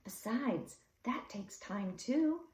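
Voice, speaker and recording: Storybook dad read by a woman: reasonable, reflective, expressive pitch, echoey room. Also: slow pace. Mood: thoughtful. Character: thoughtful, calm, reasonable father, tiny man.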